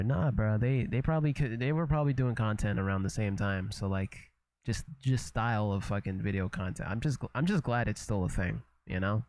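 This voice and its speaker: A man's voice: lowish voice, a little mellow, no room sound. A young man from Canada chatting casually and loosely on a livestream, swearing, repeating himself and trailing off as he reacts to a video.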